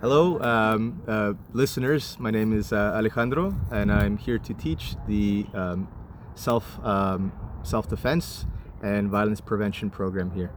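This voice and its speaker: Male guest instructor, low register, measured and halting explainer, noisy urban ambience. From Chile, moved to Canada at eight. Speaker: martial arts and violence prevention instructor, guest. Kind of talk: interview answers.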